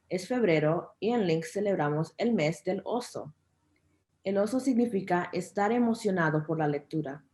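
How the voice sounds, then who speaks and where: A woman's voice: fairly low pitch, a little mellow. A woman working as interpreter for a children's reading program, delivering a scripted translation. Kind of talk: scripted translation of a welcome talk.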